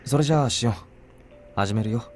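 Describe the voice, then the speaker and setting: A man's voice: mid-range voice, very fast, darkish tone, a little hiss or hum, lightly acted. An actor voicing a caring, easygoing young man, the plainspoken, informal protagonist of a visual novel. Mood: caring, doubtful. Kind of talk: Japanese visual novel voice acting. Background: game music.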